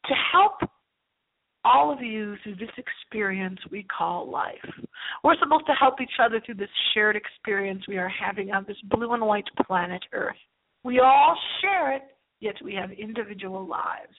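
A woman's voice: mid-range voice, moderate pace. A woman hosting a paranormal radio show in a rambling, theatrical monologue, repeating phrases for emphasis. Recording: thin, narrow phone-line sound.

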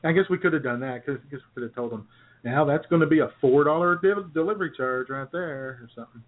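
A man's voice: on the high side, fast talker. A man co-hosting a talk show, telling casual comic anecdotes in easy banter and often chuckling as he talks. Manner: chuckles often while talking. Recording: thin phone-like lo-fi sound.

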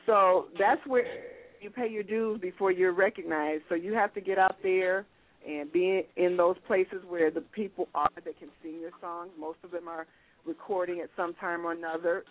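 A woman manager who is a guest on a radio show, giving measured, practical advice. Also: lowish voice, a little hiss or hum. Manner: measured, practical, explaining step by step. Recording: tinny phone line.

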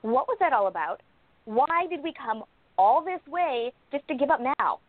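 An excitable girl-detective sidekick played by a woman in a radio drama, fairly high and full of swooping intonation. She is brisk and theatrical, on thin, narrow-band audio. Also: no room sound. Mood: indignant. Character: eager, indignant, chatty female sidekick sleuth. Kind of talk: radio play acting.

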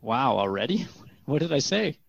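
A man's voice: mid-range voice, very animated, moderate pace, creaky, breathy edge, dry recording, muffled recording, full character voice. A man playing an earnest, inquisitive radio host in a scripted talk-show drama, speaking conversationally. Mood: curious. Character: earnest, curious male radio host questioning a spirit guest.

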